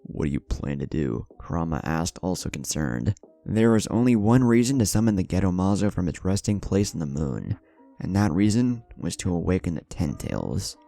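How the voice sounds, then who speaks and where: A man's voice: low-pitched, big pitch swings, moderate pace, vocal fry, warm tone, dry recording, soft background music. A man narrating a scripted fan fiction story in a dramatic storytelling manner.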